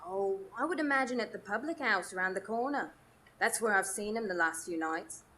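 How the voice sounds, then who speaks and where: A woman's voice: medium pitch, lively intonation, brisk, bright, forceful tone. A woman playing a gossipy, cheeky Victorian London housekeeper in a radio drama recorded live on stage. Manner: chatty, gossipy.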